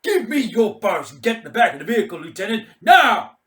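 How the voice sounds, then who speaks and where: A man's voice: sits high, sing-song, brisk, fairly bright tone, roomy sound, voice pushed up. A man voicing a drunk, domineering, foul-mouthed middle-aged Marine commander in a performed short story. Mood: barking, enraged. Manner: barking, domineering, profane.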